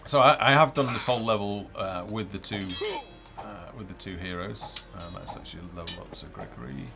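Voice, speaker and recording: Low, gravelly man demoing a game. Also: brisk. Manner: hesitant, thinking aloud while playing. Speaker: game developer presenting. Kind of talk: casual live gameplay demo commentary. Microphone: headset. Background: game music.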